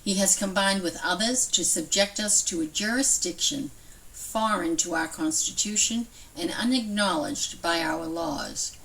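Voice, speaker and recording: A woman with a mid-pitched voice reads a historical document aloud. She sounds a little way off from the microphone. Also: measured pace, fairly bright tone. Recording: somewhat distant from microphone.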